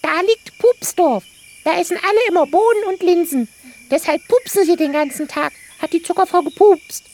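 A woman's voice: very high-pitched, moderate pace, a little mellow. A woman voicing a small, naive male glowworm, childlike and matter-of-fact, in a children's fairy-tale audio play. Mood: cheeky.

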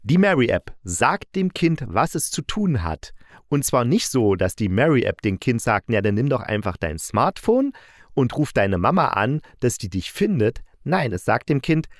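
A man's voice: mid-range voice, sing-song, a little slow, no room sound, studio quality. A man giving a casual, colloquial and opinionated spoken review, retelling stories as a host. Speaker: host reviewing audio dramas.

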